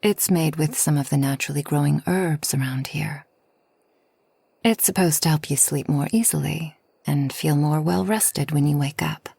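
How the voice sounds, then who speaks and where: Woman doing a gentle old-soul forest witch, asmr style, breathy almost whispering, slow and soothing, really close dry sound. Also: low-pitched, no room sound, heavily acted. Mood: soothing, caring. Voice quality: soft, breathy, often near whisper. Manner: gentle, unhurried, soothing, slightly formal speech. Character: kind, solitary, long-lived forest witch hosting a traveler. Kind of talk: soft ASMR roleplay.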